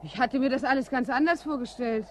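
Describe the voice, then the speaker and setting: A woman's voice: sits high, brisk, a little hiss or hum, close to an everyday voice. An actress playing an uneasy, questioning woman newly arrived from the south, in a radio play. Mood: uneasy, astonished. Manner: questioning, anxious. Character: uneasy, astonished female newcomer from the south. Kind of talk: German radio play acting.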